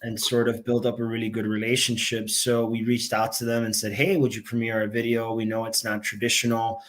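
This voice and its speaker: A young man chatting as a podcast guest, easygoing and rambling. His speech is full of fillers and restarts, and his voice sits at an ordinary middle pitch. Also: flat delivery, brisk, fairly bright tone. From Miami, Florida. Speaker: band member, guest. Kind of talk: casual interview talk.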